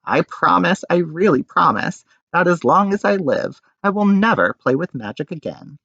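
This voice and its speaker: A man's voice: a little high, lively intonation, moderate pace, gravelly, fairly bright tone, husky, no room sound, dull audio, pitched above the performer's own voice, exaggerated voice. A man voicing a big foolish young man in a storybook, tearful, remorseful and pleading. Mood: earnest pleading. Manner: howling, sobbing, pleading.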